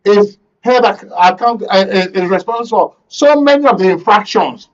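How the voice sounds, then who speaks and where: A man's voice: sits high, lively intonation, normal speed, highs cut off. A man with a Nigerian accent hosting and moderating a current affairs panel on a broadcast talk show. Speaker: host of a radio and TV talk show.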